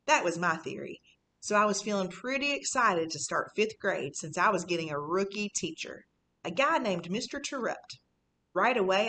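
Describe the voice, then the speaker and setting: A woman's voice: medium pitch, fairly bright tone, slight background noise. A woman reading a children's book in the voice of a sneaky, boastful fifth-grade boy, telling his story casually and conspiratorially. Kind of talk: first-person children's audiobook reading.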